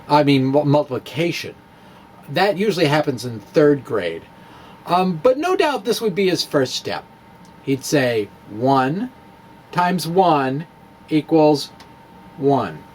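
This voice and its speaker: A man giving a playful, self-mocking demonstration of math with clay and pipe cleaners. He often pauses and restarts while he works with his hands. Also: a little high, sing-song, darkish tone, a little hiss or hum. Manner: wry, self-mocking, chuckles at own jokes. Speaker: physics blogger demonstrating math.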